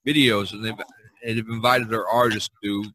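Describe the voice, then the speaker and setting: A man's voice: fairly low pitch, steady tone, moderate pace, sharp tone, low-quality recording. A man hosting a casual artists' podcast, talking in a rambling, easygoing way and laughing often. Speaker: podcast host. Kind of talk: casual chat among artists.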